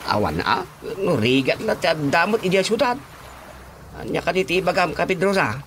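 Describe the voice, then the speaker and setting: A man's voice: sits high, sing-song, normal speed, a bit bright, a little hiss or hum, heavily acted. A man playing a shifty, cowardly young cousin in a radio drama comedy, stammering and yelping. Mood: evasive, stammering.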